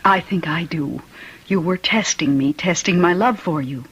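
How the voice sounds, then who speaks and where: An actress playing an anxious, devoted wife in an old radio drama, measured and earnest. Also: female voice, fairly low pitch, breathy edge, low-quality recording. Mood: earnest confrontation. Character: anxious, devoted wife confronting her husband.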